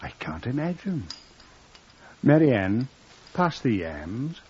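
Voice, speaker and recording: A man's voice: mid-range voice, big pitch swings, slow pace, heavy background noise. An actor playing a formal, pompous nineteenth-century doctor and family man in a 1950s radio drama. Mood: coolly assured. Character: respectable, secretly guilty 19th-century doctor, husband and father. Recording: dull old radio recording.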